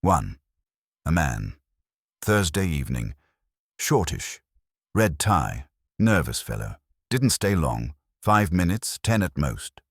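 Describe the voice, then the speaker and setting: A prim English landlady, as acted by a male narrator, very deep in pitch and slightly husky. She is sniffy and guarded, dropping terse fragments with lively rises and falls in a mystery audiobook. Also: slow, pauses often, no room sound, studio quality, full character voice. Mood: guarded, observant. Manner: clipped, terse fragments, haughty.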